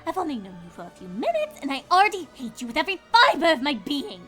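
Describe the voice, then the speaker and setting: Indignant cartoon lady pony: shrill, bright, theatrical and screechy, over light music. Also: wildly swooping pitch, fast, exaggerated voice. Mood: angry, exasperated. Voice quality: screechy when upset. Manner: melodramatic, prim, exclamatory. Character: dramatic, indignant, ladylike female cartoon pony.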